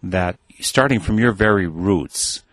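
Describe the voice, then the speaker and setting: Deep-voiced male interview host. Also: slow, a bit bright, dry recording. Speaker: radio show host. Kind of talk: interview hosting.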